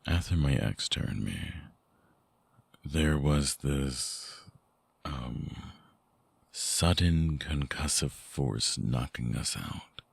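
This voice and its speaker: A man playing a brooding, vulnerable vampire ex-lover in an intimate ASMR audio drama, hushed and halting, with a breathy, husky, gravelly voice close to the mic. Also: a bass voice, lively intonation, no room sound, heavily acted. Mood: somber confession. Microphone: close binaural microphone, dry.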